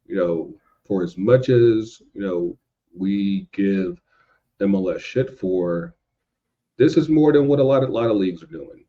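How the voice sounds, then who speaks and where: A man's voice: low-pitched, a little slow. An American man chatting casually as a podcast guest, informal and slangy, often repeating phrases for emphasis, on a dull lo-fi recording.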